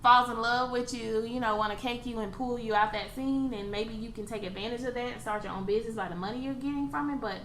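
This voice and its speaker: A woman's voice: a little high, fairly even intonation, fast, few pauses, fairly bright tone. A young adult woman who is a podcast guest, speaking as a blunt, opinionated guest who swears casually. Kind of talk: casual chat. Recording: echoey room, faint ambient noise.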